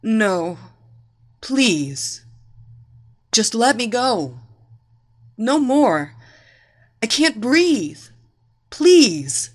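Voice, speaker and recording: A woman's voice: mid-range voice, animated, very slow, pauses often, a bit bright, full character voice. A woman voicing a helpless, defeated female wrestler in a story, breathless, sputtering and pleading. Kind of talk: dramatised story reading.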